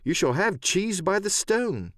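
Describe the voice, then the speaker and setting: Plucky lad for a storybook adventure, adult male actor: slightly high, animated, polite and earnest. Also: a little slow, no echo, studio quality, exaggerated voice. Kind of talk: audiobook radio-play character acting.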